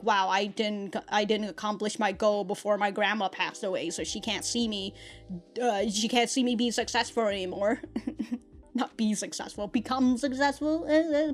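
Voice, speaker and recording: A young woman reviewing an anime episode in casual talk, ranting and swearing, with many fillers and laughs, close to the mic indoors. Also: fairly high pitch. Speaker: anime reaction YouTuber. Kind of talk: casual reaction commentary. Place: small room indoors with green-screen backdrop.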